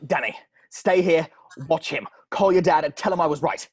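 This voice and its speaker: A man's voice: fairly high pitch, normal speed, breathy edge. A man reading the part of an earnest, driven police sergeant in a table read over a video call. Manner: earnest, clipped, urgent.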